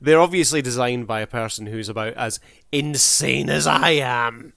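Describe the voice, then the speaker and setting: A man's voice: medium pitch, normal speed, gravelly, very noisy. A man giving casual review commentary as a video creator, in a wry, mock-formal showman's manner.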